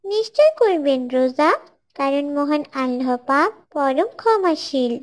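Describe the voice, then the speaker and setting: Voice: sits high, lively intonation, unhurried. A performer voicing a pious little schoolgirl in a cartoon, earnestly teaching others in a careful, preachy way. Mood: solemn, instructive. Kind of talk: scripted moral dialogue for an Islamic cartoon.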